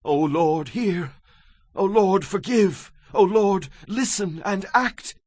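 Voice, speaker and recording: An actor playing an anguished Hebrew prophet in a dramatized scripture reading, husky, solemn and impassioned as he pleads with God. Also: high-pitched, takes it slowly, darkish tone, dry recording. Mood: anguished pleading. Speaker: actor in a dramatized audio Bible.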